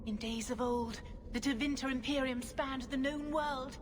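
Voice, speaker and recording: A woman's voice: high-pitched, fairly even intonation, moderate pace, close to an everyday voice. An actress playing a haughty, scheming female blood mage villain in a video game, speaking in a grandiose, menacing, theatrical way. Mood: grandiose fury. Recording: long hall reverb, clear background noise.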